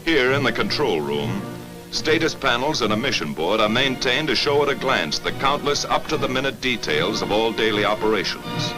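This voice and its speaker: An American man reading scripted 1940s wartime documentary narration in a clipped, declarative newsreel manner, on a vintage film soundtrack. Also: medium pitch.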